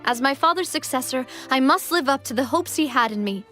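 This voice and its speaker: A woman's voice: high-pitched, animated, fairly quick, bright, forceful tone, faint music behind. A woman playing a determined, polite young noblewoman, a brave leader in a video game.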